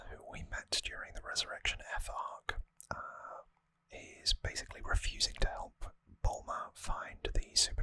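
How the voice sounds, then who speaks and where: ASMR host: unvoiced, sibilant-bright whisper, casual rambling delivery, very dry close-mic sound. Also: no room sound, poor audio. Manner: hushed, relaxed, rambling casual explanation. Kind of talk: whispered ASMR trivia recap. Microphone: close microphone.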